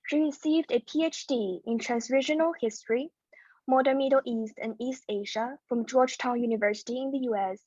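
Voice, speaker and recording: A woman presenting a forum panel, reading out each panelist's bio in a steady, formal way. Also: on the high side, no echo. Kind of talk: reading speaker bios. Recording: dull lo-fi dry sound.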